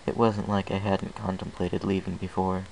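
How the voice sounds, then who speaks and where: A man's voice: low voice, little pitch movement, moderate pace, gravelly, a little mellow, a little hiss or hum, rough recording. A man reading a first-person story aloud as an amateur audiobook narrator. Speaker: fan fiction reader.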